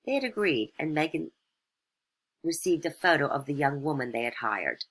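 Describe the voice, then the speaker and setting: A woman's voice: low-pitched, big pitch swings, moderate pace. A woman reading scripted satirical fairytale narration as a storyteller.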